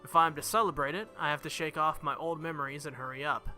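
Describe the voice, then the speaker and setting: A man's voice: fairly high pitch, fairly even intonation, fast. A man hosting a game playthrough, reading the story aloud dramatically with casual reactions and the odd stumble over words. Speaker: let's play host reading a visual novel aloud. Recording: loud game music behind the voice. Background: game music.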